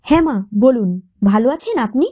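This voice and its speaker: A woman voicing a gentle, pleading young daughter-in-law eager to be loved in an audio story. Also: on the high side, animated, normal speed, husky. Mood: tender.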